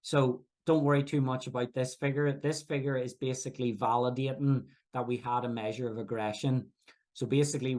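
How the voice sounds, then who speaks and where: A man from Belfast with a Belfast accent, presenting research at a workshop in a halting, explanatory way with many restarts. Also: mid-range voice, a little mellow. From Northern Ireland. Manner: hesitant, frequent fillers and restarts, explanatory. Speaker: researcher giving a workshop talk. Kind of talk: academic presentation.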